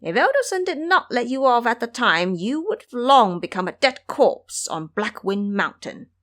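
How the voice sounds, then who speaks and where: Woman voicing a brash, high-pitched monkey hero. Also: big pitch swings, normal speed, a bit bright. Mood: brash, indignant. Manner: emphatic, formal storybook speech. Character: brash, excitable male monkey disciple, cheeky yet pleading.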